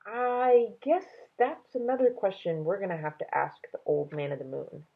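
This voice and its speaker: Woman playing a husky, earnest young storybook girl. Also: medium pitch, moderate pace, narrow, tinny audio, natural voice. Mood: resigned. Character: determined, practical young girl adventurer in a storybook.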